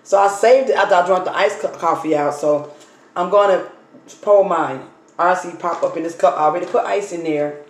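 Hoarse woman chatting over her dinner. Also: on the low side, a little fast. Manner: chatty, talks while unpacking and eating food. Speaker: vlogger. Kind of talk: casual mukbang storytime chat. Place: dining table in a home room. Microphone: phone camera on the table. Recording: echoey room with faint noise.

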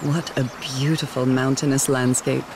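An actress playing a wistful, curious 1930s woman explorer in a video game, thinking aloud in a gentle, articulate way. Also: rumbling low, level tone, normal speed, background noise. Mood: musing. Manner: gentle, articulate, musing, wonder-struck.

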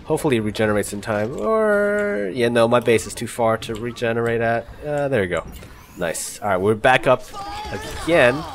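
Congested young man, lively gameplay chatter. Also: medium pitch, moderate pace, a little hiss or hum. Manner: chatty, laughing, swearing at close calls. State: congested from a cold. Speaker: gaming channel host. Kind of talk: casual gameplay commentary. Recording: music playing clearly underneath. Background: game music and sounds.

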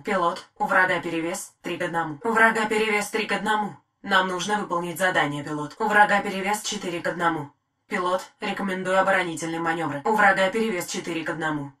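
A woman voicing a calm, dutiful female war-machine AI in a video game, giving terse military status reports in a crisp, even, measured way. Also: fairly low pitch. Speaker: titan AI voice. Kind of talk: game voice lines. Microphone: studio mic.

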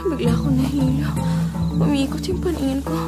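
A woman's voice: high voice, brisk, loud music behind the voice, lightly acted. A woman playing a frightened, tearful young granddaughter in a melodramatic radio drama. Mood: shocked. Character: frightened, anguished young granddaughter, pleading and brave. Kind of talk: Filipino radio drama acting. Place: small padded radio recording booth. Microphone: studio condenser mic with pop filter.

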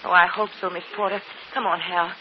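A woman's voice: lowish voice, fairly even intonation, fairly quick, crowd noise, natural voice. An actress playing an anxious, hopeful married woman, pleading, in an old radio mystery. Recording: muffled, very noisy old radio broadcast.

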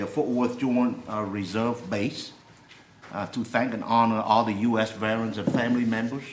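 Halting low-voiced commissioner, lots of uhs. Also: male voice, fairly bright tone. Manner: halting, full of fillers. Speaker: county commissioner. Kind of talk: presenting a resolution. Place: large county courtroom, indoors. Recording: echoey room with faint noise.

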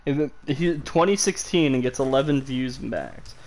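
A young man talking through a game stream on a headset mic, sarcastic and quick to poke fun at himself. His voice sits at an ordinary middle pitch, and he drops casual swears into his commentary. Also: sing-song, gravelly, darkish tone, low-quality recording.

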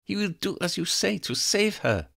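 A ruthless vampire woman gives her orders in a high, lifted voice that sits far above the man reading her's own register. She talks icily and imperiously, and her pitch swings widely from line to line. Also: big pitch swings, normal speed, vocal fry, bright, forceful tone, exaggerated voice. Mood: scheming. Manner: icy, imperious. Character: cruel scheming female vampire, commanding. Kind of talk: fan fiction audiobook reading.

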